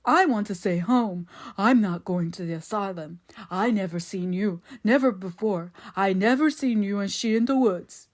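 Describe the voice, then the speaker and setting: Woman doing a scared simple country fella, childlike, halting rustic talk, audiobook character. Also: mid-range voice, mellow, husky. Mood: frightened, pleading. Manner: halting, timid, rustic ungrammatical speech. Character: frightened, simple-minded, childlike country man.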